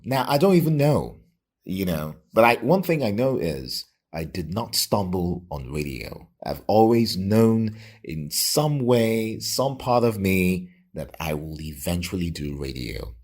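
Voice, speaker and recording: A middle-aged Nigerian man with a Nigerian accent, telling his own story reflectively in an interview with a radio presenter's deliberate, emphatic delivery. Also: deep voice, very animated, darkish tone, husky. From Lagos, Nigeria.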